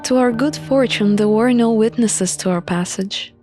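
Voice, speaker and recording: A woman reading a visual novel aloud as an expressive narrator of a playthrough. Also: fairly high pitch, moderate pace, soft background music.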